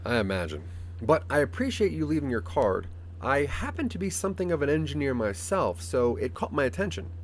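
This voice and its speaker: A man's voice: medium pitch, big pitch swings, a little fast, pitched above the performer's own voice. Guy doing an eager polite engineer character, kinda tentative, voice moves up and down a lot, radio play. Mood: eager, modest. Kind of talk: audio drama dialogue.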